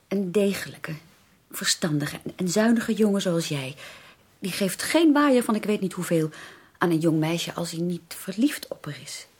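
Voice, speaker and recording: An actress in a Dutch radio drama playing an earnest, anxious young sister who pleads haltingly and emphatically. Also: female voice, lowish voice, a little mellow, breathy edge. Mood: probing. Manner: halting, emphatic, repeats words for stress.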